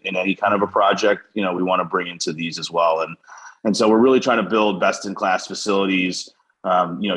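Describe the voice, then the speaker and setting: A man with an American accent and a low voice talks as a business co-founder being interviewed. He explains things conversationally, padding his points with "you know" and "kind of". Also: a bit bright, husky. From United States, Western New York. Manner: hedging, often says "you know" and "kind of".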